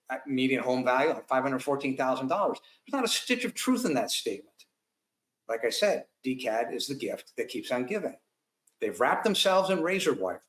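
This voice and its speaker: A man's voice: medium pitch, a bit bright. Blunt combative man, echoey room. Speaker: guest. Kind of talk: talk-show commentary.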